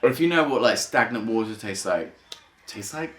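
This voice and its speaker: A man casually reacting and telling anecdotes in an excitable, amused way. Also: fairly low pitch, moderate pace, slight background noise. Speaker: amateur pantomime cast member. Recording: roomy echo.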